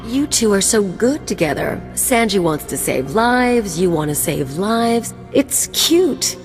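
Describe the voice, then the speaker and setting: An actress playing a sly, sardonic mercenary companion who teases and mocks. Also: female voice, mid-range voice, very animated, slow pace, faint music behind. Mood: teasing. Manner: teasing, sardonic. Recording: game cutscene with loud score.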